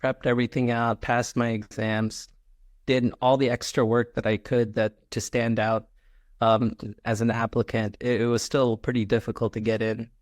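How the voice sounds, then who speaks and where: A man from Malaysia, a podcast guest, talks through his answers in a relaxed, halting way, full of ums and uhs. His voice sits at an ordinary male pitch, and the sound is dry and close-miked. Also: no room sound. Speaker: guest, clinical scientist. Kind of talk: interview answers.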